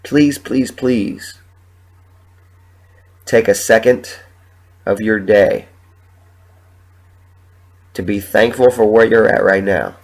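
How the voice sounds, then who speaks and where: Sick, sniffling man rambling on his podcast. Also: lowish voice. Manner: rambling, halting, emotional, swears a lot. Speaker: podcast host. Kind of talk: solo podcast monologue.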